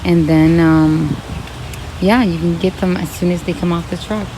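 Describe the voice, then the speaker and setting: A woman with a slightly raspy voice, chatting casually to her viewers as she browses plants in a garden center. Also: on the low side, steady tone, moderate pace, noisy background. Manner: chatty, thinking aloud while browsing. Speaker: vlogger. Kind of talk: casual shopping vlog talking to viewers. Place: garden center of a large store.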